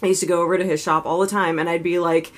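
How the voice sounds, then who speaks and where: A woman talking candidly straight to her vlog audience, her voice sitting notably low for a woman. She rambles and circles back, filling her sentences with "like" and "you know". She speaks openly and thinks aloud as she goes. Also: level tone, very fast, a little hiss or hum. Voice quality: low voice she raises slightly higher than natural. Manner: frank, self-reflective. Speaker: vlogger. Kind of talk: casual confessional vlog.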